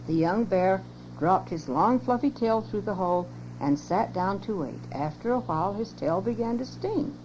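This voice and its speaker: Older lady reading a kids story to the grandkids, soft dark voice, dull old cassette tape. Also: mid-range voice, animated, moderate pace. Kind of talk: reading a children's story aloud. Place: home. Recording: lo-fi home cassette tape with hum.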